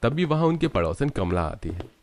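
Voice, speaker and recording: A man's voice: medium pitch, big pitch swings, normal speed, soft background music. A man narrating a scripted cartoon story.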